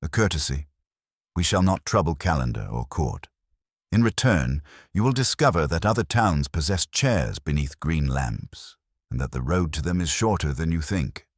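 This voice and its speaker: A man reading a courteous, quietly firm police inspector in an audiobook, polite, understated and formal. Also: rumbling low, expressive, moderate pace, no room sound, clean studio sound.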